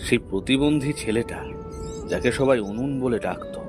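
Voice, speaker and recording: Man voicing a somber villager, mid-pitched. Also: moderate pace, music in the background, close to an everyday voice. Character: somber, sympathetic villager at a tea stall. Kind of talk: Bangla horror cartoon dialogue.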